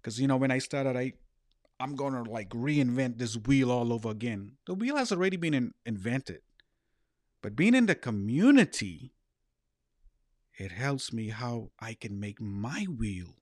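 A man's voice: mid-range voice, big pitch swings, a little slow, dry recording, clean studio sound. A middle-aged man with a Bahamian accent giving an earnest, emphatic motivational talk as a podcast host and life coach, repeating key phrases.

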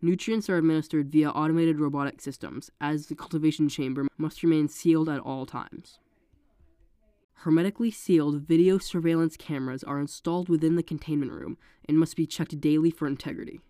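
A narrator getting over a cold, sounding congested, reading a horror case file in a steady, measured way. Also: mid-range voice, no echo, studio quality.

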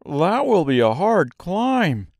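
Storybook scarecrow acted by a man: raised, lilting pitch, emphatic, courteous yet determined. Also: big pitch swings, takes it slowly, dry recording, studio quality, heavily acted. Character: living male scarecrow, earnest, polite but determined.

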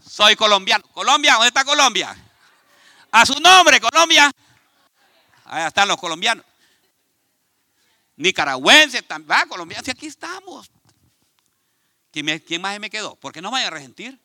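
A man from Ecuador with an Ecuadorian Spanish accent, preaching a sermon in an animated, conversational way and speaking straight to his congregation. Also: sits high, moderate pace, long pauses, dry recording, studio quality. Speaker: pastor. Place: church.

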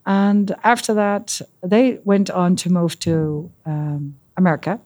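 A middle-aged woman from the Netherlands speaks English with a Dutch accent in an office interview. Her voice sits very low for a woman, and she talks in a lively, open way, now and then pausing to find an English word. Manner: lively, searching for English words. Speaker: podcast guest. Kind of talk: casual interview conversation.

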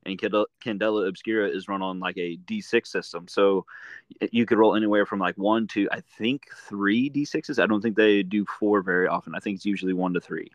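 A man with a lowish voice hosts in a casual, chatty way. The sound is close and dry, with no room around it. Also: fairly even intonation, brisk, dry recording, highs cut off. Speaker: interviewer, host. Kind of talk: casual chat.

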